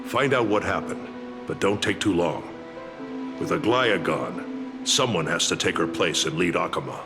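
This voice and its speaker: A dutiful fantasy-game lord with an extremely low voice. His delivery is formal, grave and measured, over clear background music. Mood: firm, dutiful. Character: grave, dutiful male lord commanding city guards. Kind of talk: video game voice acting.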